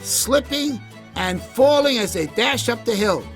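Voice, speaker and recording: A man's voice: very high voice, lively intonation, measured pace, a bit bright, soft background music, pitched above the performer's own voice, full character voice. A man voicing a bored, mischievous young shepherd boy in a children's storybook, whiny and exaggerated. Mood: excited, gleeful.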